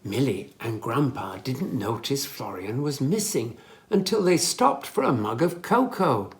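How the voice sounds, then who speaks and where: A children's storyteller reading a scripted story aloud for young listeners, with expressive, careful phrasing. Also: medium pitch, measured pace.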